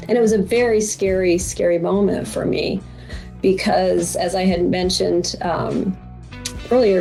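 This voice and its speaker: A middle-aged American woman from Boston, an author being interviewed, speaking reflectively with thoughtful, hesitant pauses. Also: on the low side, gravelly, darkish tone. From Boston, United States.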